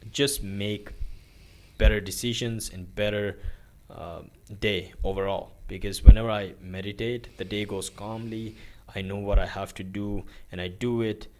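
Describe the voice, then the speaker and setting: A young man from Pakistan talks through his daily routine in a loose, rambling vlog, leaning on fillers and restarts. His voice sits a little low. He is recording in his bedroom on a phone set up on a tripod. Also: steady tone, measured pace, mellow. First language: Urdu is his country's language, but not his first language. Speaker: vlogger. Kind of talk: casual day-in-the-life vlog.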